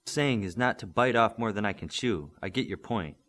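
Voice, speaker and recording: A man's voice: on the low side, expressive, moderate pace, fairly bright tone. A man voicing an eager eleven-year-old superhero boy in an audiobook, asking and answering in short, earnest bursts.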